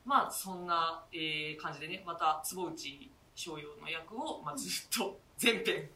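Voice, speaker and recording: A woman's voice: deep voice, a little slow, sharp tone, recorded from a distance. A woman hosting a casual, chatty round-up talk with her co-stars in a polite, easygoing way. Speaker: radio show host. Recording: roomy, off-mic, light background noise.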